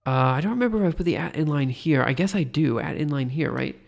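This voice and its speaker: A man's voice: a little high, lively intonation, quick pace, creaky. Stuffy-nosed man rambling through live coding. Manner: rambling, hesitant, self-deprecating. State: stuffy nose; tired. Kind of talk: casual live coding commentary, thinking aloud.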